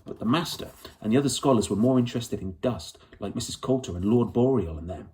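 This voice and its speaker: A man's voice: medium pitch, animated, fast talker, dark tone, lightly acted. A man voicing a fierce, stubborn young girl in a children's storybook, earnest and eager, rambling breathlessly in ungrammatical colloquial speech. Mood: eager, thoughtful.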